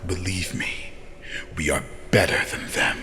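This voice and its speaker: A man playing a composed, reassuring elder in an audio drama, with a measured and authoritative way of speaking. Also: low-pitched, fairly even intonation, sharp tone. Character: composed, reassuring male elder of a hive-mind family. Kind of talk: scripted fan audio drama acting. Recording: reverberant hall, faint noise.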